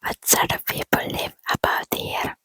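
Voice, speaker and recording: A woman voicing a polite, curious young girl in a soft ASMR whisper. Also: unhurried, no room sound, clean studio sound. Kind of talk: ASMR storybook reading.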